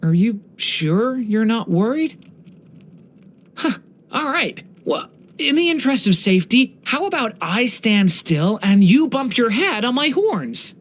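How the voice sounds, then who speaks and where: A young man voicing a gentle, earnest dragon boy for a video game, polite and slightly hesitant. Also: very high-pitched, very animated, measured pace, exaggerated voice. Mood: shy, careful. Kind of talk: video game character voice lines.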